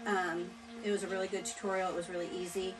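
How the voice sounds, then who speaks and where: A woman's voice: on the low side, level tone, fast, rough recording. Older woman explaining sewing steps. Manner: explains step by step with frequent ums. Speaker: sewing tutorial presenter. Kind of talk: casual how-to explanation. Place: indoors in a small boat cabin.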